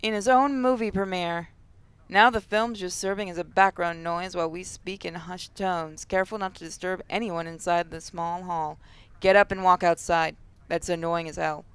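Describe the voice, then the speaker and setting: A woman hosting a gaming channel, reading visual novel narration aloud as expressive first-person storytelling. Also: lowish voice, moderate pace, smooth, dry recording.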